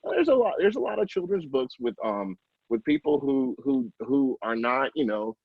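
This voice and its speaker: Halting middle-aged man, dry muffled sound. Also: mid-range voice, creaky, breathy edge, dry recording. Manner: halting, self-interrupting, informal and animated asides. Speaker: early childhood educator, guest. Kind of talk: casual interview answers, recommending.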